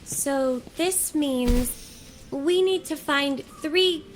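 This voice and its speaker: An actress playing an earnest, gentle, scholarly young woman in a video game, soft-spoken, thoughtful and measured. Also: high-pitched, a little hiss or hum. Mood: pondering. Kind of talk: scripted video game voice acting.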